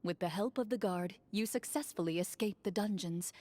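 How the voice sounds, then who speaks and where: Clorinde, a calm woman-voiced storyteller with a dark tone. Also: medium pitch, dry recording, close to an everyday voice. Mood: narrating. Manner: composed, measured, quietly watchful. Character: calm, reserved young woman acting as storyteller. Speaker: game master narrating a tabletop story. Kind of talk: scripted narration.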